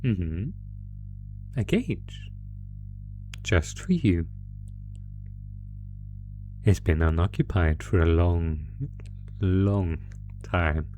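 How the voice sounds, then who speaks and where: A man's voice: sits low, animated, very slow, long pauses, dark tone, soft background music, full character voice. A man voicing an ancient, possessive monster captor in a horror roleplay, gloating with menacing, mock-tender teasing. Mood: sinister delight. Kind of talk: horror roleplay audio acting.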